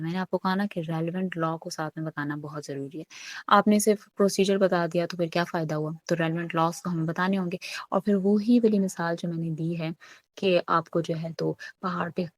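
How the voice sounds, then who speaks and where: A woman's voice: on the low side, dry recording. A woman lecturing as a law teacher, explaining step by step, posing questions and answering them, with English legal terms mixed in. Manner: didactic, poses questions then answers them, frequent check-ins.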